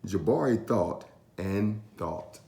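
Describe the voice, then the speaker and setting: A man reading a children's story aloud with expressive, animated narration and drawn-out emphasis. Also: sits low, vocal fry, reverberant. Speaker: storytime reader.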